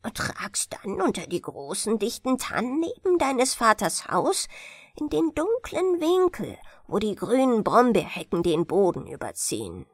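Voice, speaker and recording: A woman voicing a small, gentle male mandrake sprite in a fairy-tale audiobook, earnest and entreating. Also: a little high, wildly swooping pitch, measured pace, breathy edge, no echo, clean studio sound, heavily acted. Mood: earnest, eager.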